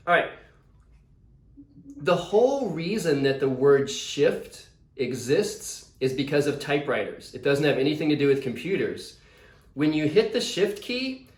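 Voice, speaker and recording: A man speaking as a visiting author, casually and enthusiastically explaining things to schoolchildren over a video call. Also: a little high. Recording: roomy, echoey video call.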